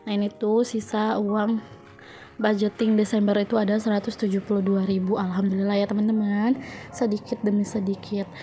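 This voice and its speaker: A young woman with a congested, stuffy nose narrates a voice-over in a level, steady tone. Her voice is soft and mellow, and she chats to her viewers with frequent fillers. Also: mid-range voice, moderate pace, slight background noise. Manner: chatty, addresses viewers often, many fillers. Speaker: home budgeting vlogger. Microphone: phone.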